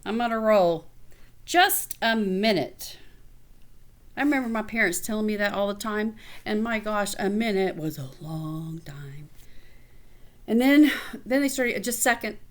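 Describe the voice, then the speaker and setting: A woman teacher reading a picture book aloud to children, expressively and with casual asides. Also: medium pitch. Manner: expressive, pausing to comment to children.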